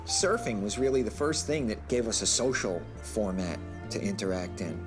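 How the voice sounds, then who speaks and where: A man's voice: on the low side, lively intonation, moderate pace. An older man from New York recalling his youth in a conversational documentary interview. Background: instrumental music.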